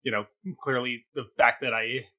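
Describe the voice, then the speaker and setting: A man's voice: medium pitch, little pitch movement, normal speed, pushed and bright. A man giving a casual review as a talk show co-host, in a hesitant, rambling, conversational way.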